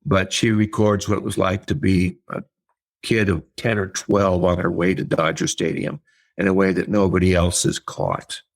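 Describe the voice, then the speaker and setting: An older man, an author and writing teacher, talking in a casual interview, thoughtful and halting, with many fillers and repeated words. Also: low voice, level tone, gravelly.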